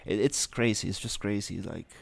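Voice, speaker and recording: A young man from Rica, chatting casually on a live stream, halting, with many fillers and restarts. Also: on the low side, gravelly, husky. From Costa Rica. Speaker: livestreamer.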